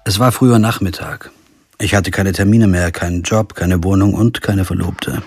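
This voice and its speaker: An actor playing a stubborn, principled lawyer who tells a radio crime story in measured, literary German prose style. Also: male voice, sits low, steady tone, breathy edge, no echo. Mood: brooding, measured. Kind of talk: first-person radio crime drama narration and dialogue. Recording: music behind the voice.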